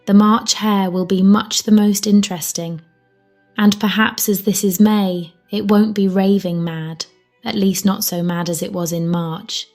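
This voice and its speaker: A woman's voice: medium pitch, steady tone, a little slow, darkish tone, natural voice. A woman voicing a polite, curious, literal-minded little girl in a storybook, speaking precisely over music. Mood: matter-of-fact.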